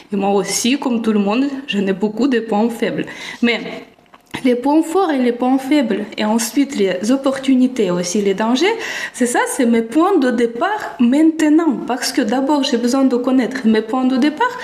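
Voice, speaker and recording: A woman with a fairly high voice talks in a thoughtful, searching way, sometimes restarting a thought mid-sentence. She speaks as a self-development vlogger reflecting on herself. The recording carries a noticeable echo from the room. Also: animated, normal speed, darkish tone. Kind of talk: personal reflective vlog talk.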